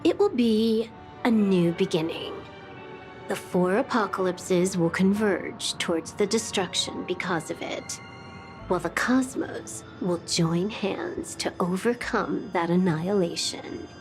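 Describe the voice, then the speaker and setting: A woman's voice: mid-range voice, unhurried, soft background music, natural voice. A woman playing a serene, prophetic figure in a video game, speaking in a grave, portentous manner. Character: serene, prophetic woman foretelling destruction and rebirth. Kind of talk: dramatic video game voice acting.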